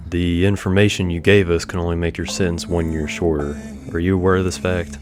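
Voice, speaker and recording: A man playing a stern, formal police officer in a game roleplay, speaking in a measured way. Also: low voice, level tone, close to an everyday voice. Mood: stern, official. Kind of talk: online game roleplay voice acting.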